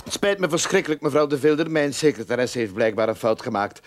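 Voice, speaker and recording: A man's voice: mid-range voice, animated, fast talker, natural voice. A male actor playing a brusque private detective with oily politeness in a radio play. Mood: apologetic bluster. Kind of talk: Dutch-language radio play acting.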